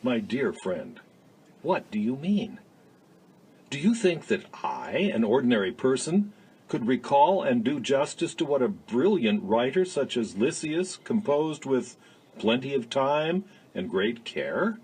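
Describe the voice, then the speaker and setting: A man playing an earnest, modest young Athenian in a dramatised dialogue reading, with a husky, rough voice. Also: medium pitch, sing-song, measured pace, darkish tone, heavily acted, rough recording. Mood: earnest protest.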